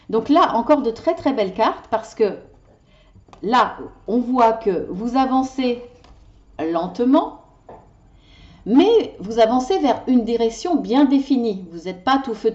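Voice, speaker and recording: Tarot lady, kinda high voice, mellow, passionate, stumbles over words, lo-fi audio. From Italian heritage. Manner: animated, talks with her hands. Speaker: tarot card reader. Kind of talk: weekly card reading video.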